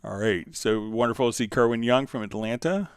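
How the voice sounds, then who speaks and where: A man hosting a live software tutorial in an informal, conversational way, thinking aloud as he demonstrates. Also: mid-range voice, fairly quick, clean tone, no room sound, studio quality. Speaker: livestream host and software instructor.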